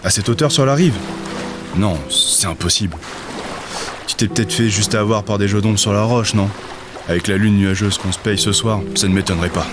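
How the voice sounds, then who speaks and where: A man plays a doubting, level-headed dockworker in a fantasy radio play. The voice is fairly low and measured, reasoning things through over clearly audible music. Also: lightly acted. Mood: doubtful, calming. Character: sceptical, sensible male dockside comrade. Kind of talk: audio drama acting.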